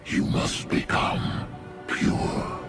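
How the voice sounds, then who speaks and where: An actor playing a colossal ancient alien beast in a video game, solemn and imperious, with a guttural growl and grave pronouncements. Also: male voice, over loud music. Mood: solemn, grim. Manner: slow, ponderous, grave pronouncements. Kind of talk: video game cutscene acting.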